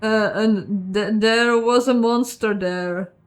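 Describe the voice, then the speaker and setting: A woman's voice: medium pitch, fairly even intonation, takes it slowly. A woman giving casual, chatty let's play commentary, thinking aloud in a self-deprecating way. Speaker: host of a game playthrough, voicing the dialogue. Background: game music.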